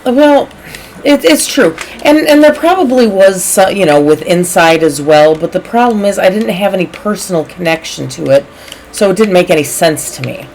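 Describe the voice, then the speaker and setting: A woman's voice: fairly low pitch, animated, moderate pace, darkish tone, a little hiss or hum, poor audio. A woman from the Chicago area with a Chicago accent, chatting casually as she co-hosts a game stream. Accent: Chicago American. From Chicago area, United States. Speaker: co-host of a video game stream, English teacher. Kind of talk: casual chat while playing a game.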